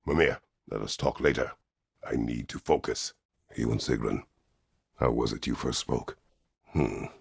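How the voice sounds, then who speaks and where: An actor playing a stern, weary, middle-aged Greek war god in a video game, speaking tersely and formally with a gravelly, husky voice. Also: male voice, very deep voice, a little slow, pauses often, no room sound, clean studio sound, exaggerated voice. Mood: stern, brooding. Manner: terse, grave, formal phrasing without contractions. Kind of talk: dramatic video game voice acting.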